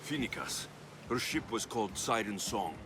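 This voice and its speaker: An actor playing a fierce, loyal Greek mercenary hero who is grieving and set on vengeance, in a cinematic video game. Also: male voice, on the low side, lively intonation, normal speed, noisy background, lightly acted. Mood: purposeful.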